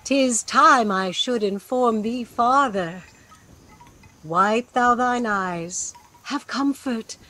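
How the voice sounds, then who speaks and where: A woman's voice: a little high, sharp tone, full character voice. A woman playing a commanding, tender sorceress mother with stately delivery in a Shakespearean radio play. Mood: solemn, commanding. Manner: stately, deliberate. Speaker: actor in a Shakespeare audio play. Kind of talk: Shakespearean radio drama acting.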